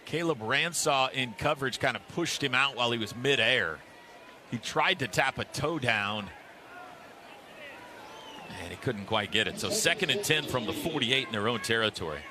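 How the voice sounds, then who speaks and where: A man's voice: mid-range voice, expressive, normal speed. A man calling football play-by-play on radio in clipped, vivid phrases that swell on big plays. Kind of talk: live football play-by-play commentary. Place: stadium broadcast booth. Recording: clearly noisy live stadium broadcast.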